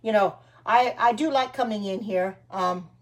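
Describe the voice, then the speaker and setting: Older woman, chatty live-stream baker, rambling and homespun, mid-pitched voice. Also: moderate pace. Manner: chatty, greets and answers viewers by name, meandering; frequent ums and restarts, homespun sayings. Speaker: home cooking livestreamer. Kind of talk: casual live-stream chat while baking.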